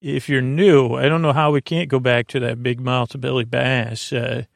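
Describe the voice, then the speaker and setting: An American man hosting a bedtime podcast in a creaky, soothing voice, meandering and stumbling through a halting monologue. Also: mid-range voice, flat delivery, no echo, studio quality. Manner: meandering, halting, stumbling over words and drifting off on tangents; soothing, lulling. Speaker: podcast host.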